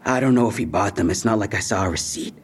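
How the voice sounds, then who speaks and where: An actor playing a defensive young robbery suspect in an audio drama, with husky, slangy, evasive talk and tough posturing over fear. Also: male voice, fairly low pitch, fast. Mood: cocky, casual. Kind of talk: naturalistic audio drama acting.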